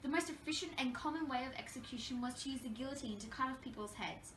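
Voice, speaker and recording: High-pitched woman playing a wronged young queen, echoey. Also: fast talker, a bit bright. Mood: sombre. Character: Marie Antoinette, wronged young French queen recalling her troubles. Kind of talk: scripted question-and-answer role play. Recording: echoey room, distant mic, faint noise.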